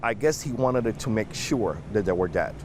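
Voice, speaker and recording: Low male witness, halting testimony, outdoor traffic noise. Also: animated. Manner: shaken, halting recounting. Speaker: eyewitness survivor. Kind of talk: emotional interview testimony.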